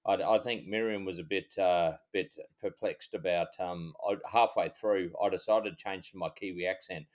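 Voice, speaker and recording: A middle-aged Australian man, a former cop co-hosting a podcast, chatting in a rambling, halting, easygoing way. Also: deep voice, fairly even intonation. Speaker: podcast co-host, former police officer. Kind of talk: casual chat.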